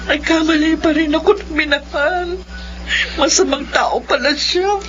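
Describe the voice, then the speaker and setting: A woman's voice: high voice, unhurried. An actress playing a betrayed middle-aged mother in a melodramatic, tearful radio drama. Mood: ashamed, remorseful. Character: betrayed middle-aged mother, emotional, enraged then remorseful. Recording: muffled radio drama with loud music.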